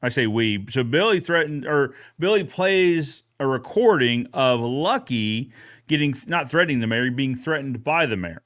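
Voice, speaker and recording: A man's voice: mid-range voice, expressive, normal speed, fairly bright tone. A man co-hosting a casual recap, retelling a story in an informal, conversational way. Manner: informal, retells characters' dialogue. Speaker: co-host recapping episode.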